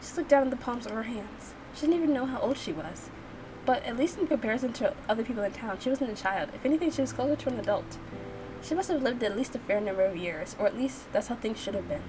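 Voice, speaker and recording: A woman's voice: on the high side, animated, fast, background noise. A woman hosting a game playthrough, narrating it and adding playful, slangy, casual reactions. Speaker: gaming channel host. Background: background music.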